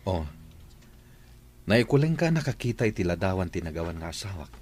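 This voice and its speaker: A man's voice: low-pitched, big pitch swings, brisk, darkish tone, slight background noise. A man playing a composed, welcoming employer and father in an Ilocano drama, with a conversational air of authority. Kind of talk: Ilocano radio-style drama acting.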